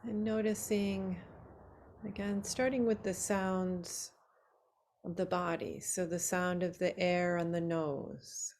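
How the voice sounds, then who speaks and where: A woman teaching yoga nidra, guiding a relaxation meditation in a soothing, unhurried way with long pauses between short phrases. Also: lowish voice, flat delivery, a little mellow.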